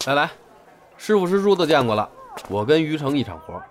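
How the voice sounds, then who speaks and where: Breezy young apprentice played by a man, higher-pitched. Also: very animated, fairly quick, a little hiss or hum. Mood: breezy, proud. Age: young adult. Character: breezy, outgoing young senior apprentice, joking. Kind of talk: Chinese audio drama acting.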